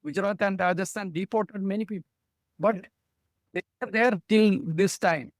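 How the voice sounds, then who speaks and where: A man's voice: high-pitched, normal speed, dry recording, studio quality. A man with an Indian English accent, speaking earnestly as a guest in a discussion. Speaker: guest journalist. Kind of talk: opinionated discussion.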